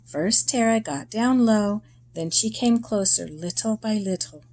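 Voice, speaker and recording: Slow-paced woman reading a children's story aloud. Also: medium pitch, background noise. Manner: deliberate, expressive storytelling with short phrases and pauses.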